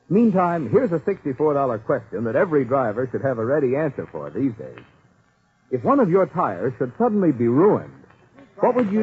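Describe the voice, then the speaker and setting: A man's voice: medium pitch, husky. A man working as a 1940s radio announcer, reading commercials and credits with polished, emphatic salesmanship. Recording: tinny vintage radio, faint music.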